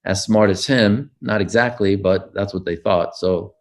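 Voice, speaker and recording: A man's voice: lowish voice, measured pace. An older man, recounting his childhood in an oral history interview, with frequent fillers and restarts. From United States.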